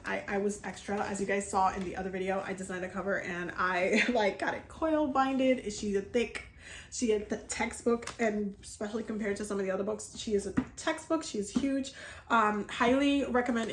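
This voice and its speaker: A woman's voice: medium pitch, slight background noise. A woman from the Dominican Republic talking casually to her viewers as a herbalism and energy healing teacher, chatty and upbeat with lots of fillers and little laughs. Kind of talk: casual video chat to viewers. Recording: echoey room.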